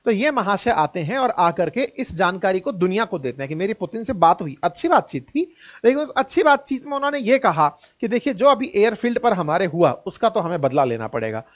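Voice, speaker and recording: A man's voice: high-pitched, sing-song, brisk, dull audio. An Indian man giving informal, colloquial news commentary with a mocking edge, often putting rhetorical questions straight to his listeners.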